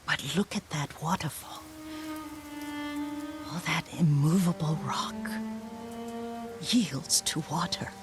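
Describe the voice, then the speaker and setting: Elderly devoted female servant, video game drama: unhurried, tender, soft breathy voice, wistful; music behind. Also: sits low. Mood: reflective, frail. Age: older. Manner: slow, tender, reflective. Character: elderly, gentle, wistful woman, devoted caretaker to a lord.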